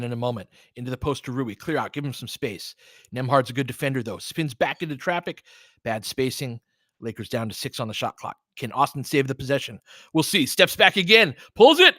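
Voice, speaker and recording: A man's voice: medium pitch, bright, forceful tone, no room sound, studio quality. A man calling live basketball play-by-play in short, clipped phrases, with casual fan-style asides. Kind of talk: live audio play-by-play commentary.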